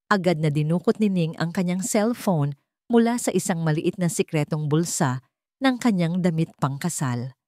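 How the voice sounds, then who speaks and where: A woman's voice: on the low side, expressive, moderate pace, warm tone, dry recording, studio quality. A woman with a Filipino accent reading a scripted, dramatic story as its narrator.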